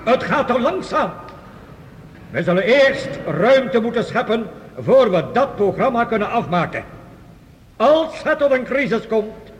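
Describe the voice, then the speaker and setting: Grave high-voiced orator in a hall. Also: male voice, animated, a little slow. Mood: insistent. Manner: solemn, declamatory, emphatic. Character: grave, urgent male doctor warning a world assembly. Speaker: official addressing delegates. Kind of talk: Dutch radio play acting, formal oratory. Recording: dull, hissy recording in long hall reverb.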